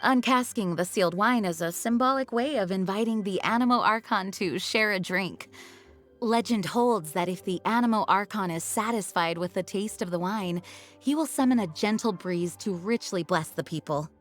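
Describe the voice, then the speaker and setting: Casting: woman playing poised game knight leader, mid-pitched, formal and earnest, soft music underneath. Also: moderate pace, natural voice. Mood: explaining. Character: composed, courteous, dutiful young woman knight leader. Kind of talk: video game character acting.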